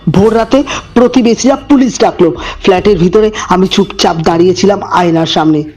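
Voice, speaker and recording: A man narrating a scripted horror story as host, with deliberate dramatic phrasing and suspenseful pauses. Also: sits high, a little mellow.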